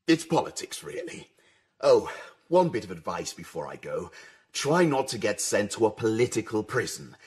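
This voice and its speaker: An actor playing an evasive, bumbling British embassy official with an upper-class English accent, hesitant and waffling, in a radio drama. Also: male voice, on the low side, husky, heavily acted. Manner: hesitant, waffling, mealy-mouthed.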